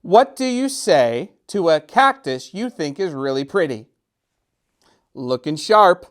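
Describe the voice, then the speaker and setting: High-voiced, playful middle-aged man. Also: measured pace. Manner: playful, self-deprecating, animated. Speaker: storytime host. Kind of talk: casual chat to children, joking.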